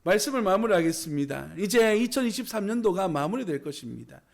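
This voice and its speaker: A male pastor preaching a sermon in a small church, hoarse from a cold, with emphatic rising exclamations. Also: high voice, very animated, brisk.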